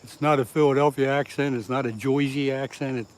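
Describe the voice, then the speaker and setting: A man's voice: mid-range voice, fairly even intonation, brisk. A man from southeast Pennsylvania chatting on a casual fishing livestream, reading viewer comments aloud and laughing at his own jokes. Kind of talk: casual live-stream chat with viewers. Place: outdoors by the water.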